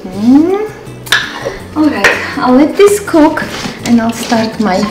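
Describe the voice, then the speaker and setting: A woman with a slightly high voice and as her hosts a casual cooking demonstration. She takes her time, murmuring little remarks to herself between instructions. The kitchen sounds roomy and echoey, with clear music and some clatter of pans behind her. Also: sing-song, very slow. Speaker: cooking show host. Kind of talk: casual cooking demonstration, talking while working. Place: home kitchen, indoors. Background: music, clatter of dishes and pans.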